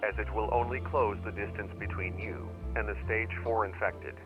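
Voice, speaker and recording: A man playing a grave, formal narrator of an analog horror survival tape, measured and solemn. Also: fairly low pitch, steady tone, natural voice. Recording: muffled old tape, music underneath. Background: music.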